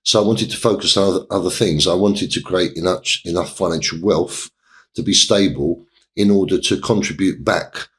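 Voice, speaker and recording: A middle-aged man from England, a guest in an interview, telling his story in a halting way with many pauses and restarts. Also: deep voice, a bit bright, a little hiss or hum. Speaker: interview guest, property developer.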